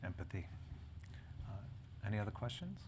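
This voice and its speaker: A man's voice: fairly low pitch, sing-song, moderate pace, noisy background. A man moderating a live panel discussion, talking in a rambling way with many restarts and fillers. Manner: rambling, self-correcting, frequent fillers. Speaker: panel moderator and editor of a health publication. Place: conference event in front of an audience.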